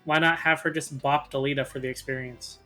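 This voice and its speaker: Game commentator, mid-pitched voice, matter-of-fact explainer among friends, game music underneath. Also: fairly even intonation, brisk, pushed and bright. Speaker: gaming commentator and player. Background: video game music.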